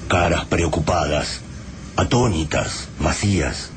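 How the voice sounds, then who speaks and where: An actor as the grave storyteller of an apocalyptic tale, narrating a radio drama in an Argentine accent. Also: male voice, low voice, slow pace. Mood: grave, atmospheric. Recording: noisy atmospheric sound bed.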